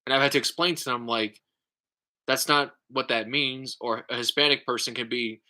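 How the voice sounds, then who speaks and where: A man talks off the cuff in his own vlog, stumbling through restarts and fillers as he works out his point. His voice has a bright, slightly tense edge, and he sounds a little tired. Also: mid-range voice, fairly even intonation. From United States. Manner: halting, lots of fillers and restarts; explanatory, thinking aloud. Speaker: YouTube creator. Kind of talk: casual response vlog.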